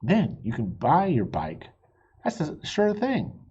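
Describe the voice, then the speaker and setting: A man's voice: a little high, huge pitch swings, slow pace, darkish tone, husky, highs cut off, heavily acted. A man reading the part of a practical, jokey father in a children's storybook, confident and persuasive. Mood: eager.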